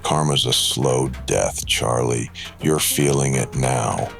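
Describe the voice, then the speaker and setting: An actor playing a huge spectral wrestler in a noir radio drama, speaking slowly with cold, accusing menace and a gravelly voice. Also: male voice, fairly bright tone, soft background music, exaggerated voice. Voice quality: very deep, gravelly. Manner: slow, cold, ominous.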